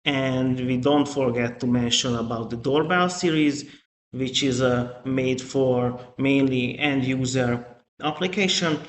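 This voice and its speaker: A man giving a live online product training as the presenter, with a halting delivery. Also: mid-range voice. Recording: echoey room with faint noise.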